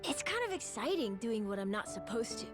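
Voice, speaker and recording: Eager, high-pitched young boy. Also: big pitch swings, fairly quick, music in the background. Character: eager, earnest young boy with a stubborn streak. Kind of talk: English video game dub acting.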